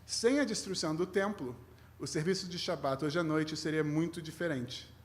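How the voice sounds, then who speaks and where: A man from Rio de Janeiro with a slightly mixed Brazilian accent, a rabbi giving an informal, humorous sermon in a halting, conversational manner. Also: on the high side. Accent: Brazilian with a mixed foreign tinge. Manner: conversational, halting, self-deprecating. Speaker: rabbi, guest preacher.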